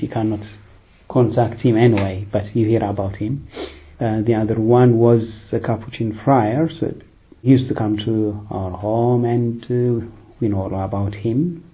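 Low gravelly priest, tinny sound. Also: male voice. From Eritrea, raised in Ethiopia. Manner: hesitant, unhurried, many fillers. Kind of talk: personal vocation testimony.

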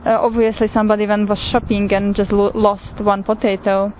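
A woman from Slovakia with a Slovak accent, telling her pilgrimage story in an unhurried way with frequent pauses and fillers. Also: medium pitch, level tone. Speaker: pilgrim sharing testimony. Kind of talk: personal testimony. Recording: tinny phone-like recording with faint noise.

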